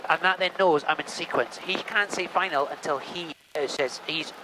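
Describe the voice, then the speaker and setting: A man's voice: fairly high pitch, normal speed. A man, a helicopter instructor giving encouraging, repeated short instructions over a headset during a flight. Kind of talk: coaching during a flight lesson. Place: inside a small helicopter in flight. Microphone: aviation headset. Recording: noisy helicopter cockpit. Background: engine and vehicle noise.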